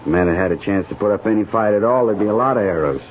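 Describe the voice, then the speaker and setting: An actor playing a seasoned, folksy cavalry sergeant in a 1950s radio western, laconic and rustic. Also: male voice, fairly low pitch, racing, lightly acted. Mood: puzzled. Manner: laconic, rustic, plain-spoken. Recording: narrow-band old radio recording, faint hiss.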